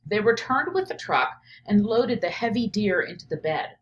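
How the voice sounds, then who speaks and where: A woman teacher reading a picture book aloud and pausing to reflect on it out loud, often checking in with "right?". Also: mid-range voice, sing-song, dull audio. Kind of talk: read-aloud of a picture book with think-aloud commentary.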